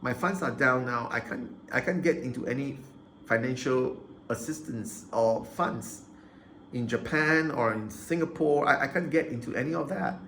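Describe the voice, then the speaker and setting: A man's voice: medium pitch, moderate pace, fairly bright tone, a little hiss or hum, low-quality recording. A man ranting into the camera about his grievances, agitated, repeating himself and stumbling over restarts. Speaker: vlogger. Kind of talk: aggrieved personal rant to camera.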